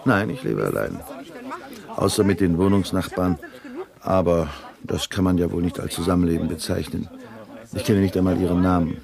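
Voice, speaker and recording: Low-voiced middle-aged man, a dry, world-weary clerk in a seventies sci-fi radio drama, resigned and grumbling. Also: moderate pace, vocal fry, crowd noise. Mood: subdued, resigned. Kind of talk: 1970s German radio play acting.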